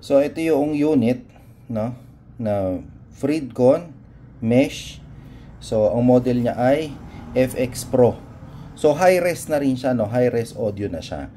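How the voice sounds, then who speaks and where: Mellow Filipino man, casual vlog chat. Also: mid-range voice, animated, slow, long pauses. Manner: hesitant, unscripted, searching for words, mixing Tagalog and English. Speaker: motorcycle vlogger presenting a product. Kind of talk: casual unboxing and review chat. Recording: noticeable background noise and room ambience.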